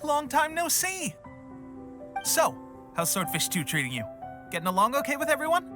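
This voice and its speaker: A man's voice: extremely high pitch, sing-song, moderate pace. A man playing an eager, boastful, friendly young soldier in a video game. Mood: friendly, jaunty. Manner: boastful, enthusiastic, jaunty. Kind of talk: animated video game voice acting. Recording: music behind the voice.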